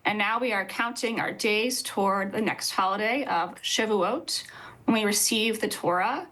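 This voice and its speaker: A woman's voice: mid-range voice, moderate pace, fairly bright tone, poor audio. Earnest woman explaining on a video call. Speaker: rabbi, interview guest. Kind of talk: explanatory interview answers. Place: indoor office with bookshelves.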